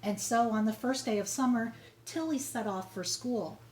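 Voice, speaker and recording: A woman's voice: a little high, normal speed, darkish tone, a little hiss or hum, rough recording. A woman reading a children's story aloud, expressively and with emphatic, dramatic phrasing. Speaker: storybook reader.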